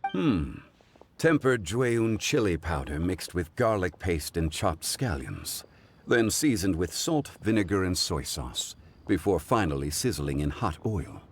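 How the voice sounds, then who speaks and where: An actor playing a calm, courteous, scholarly consultant in a game, speaking in a measured, formal, eloquent manner. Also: male voice, low-pitched, husky, full character voice. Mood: pensive, expert. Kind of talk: animated game voice acting.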